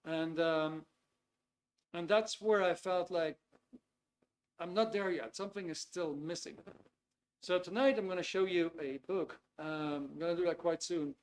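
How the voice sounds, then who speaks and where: A man's voice: on the high side, unhurried, pauses often. A man in his fifties with a Dutch accent, hosting a live online webinar in an easygoing, chatty way with many hesitations. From Netherlands. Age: 50s. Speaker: webinar host. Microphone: video call computer mic.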